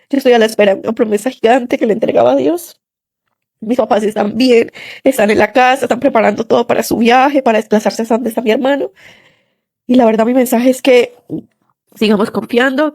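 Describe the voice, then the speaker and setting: A woman's voice: fairly high pitch, a little mellow, no echo. A young woman with a Colombian accent shares a personal testimony in an earnest, conversational way. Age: young adult. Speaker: listener sharing a testimony.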